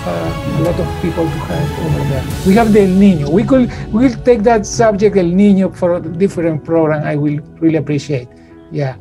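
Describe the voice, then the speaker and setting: A middle-aged man from Peru with a Peruvian Spanish accent, hosting an interview over a video call, speaking haltingly with many filler sounds. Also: a little high, creaky. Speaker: interview host. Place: home room with shelves. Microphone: studio mic on video call.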